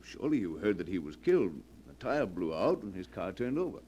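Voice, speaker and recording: An actor playing a grave, apologetic adviser in a 1940s radio drama, speaking in a measured, hesitant way. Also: male voice, low voice, big pitch swings, mellow. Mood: solemn, apologetic. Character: grave, apologetic male adviser breaking bad news. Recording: muffled 1940s radio, faint noise.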